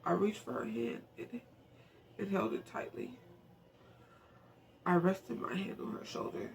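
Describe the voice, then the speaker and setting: A man reading a novel aloud in an informal, emotional, soft storytelling manner, now and then speaking in asides. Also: high-pitched, a little slow, vocal fry, a little hiss or hum. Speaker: amateur book reader and channel host.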